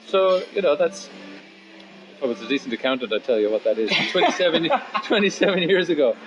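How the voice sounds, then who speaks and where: A man of fifty, a musician chatting casually and philosophically in an interview, blunt and sweary, rambling into animated asides. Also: a little high, fairly quick, highs cut off. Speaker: musician and guitar teacher being interviewed.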